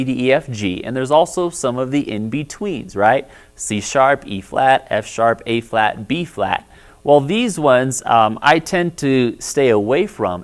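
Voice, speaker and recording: Man, bass-baritone voice, friendly music teacher, explanatory, casual instructional lesson. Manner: friendly, explanatory, often checks in with "all right?".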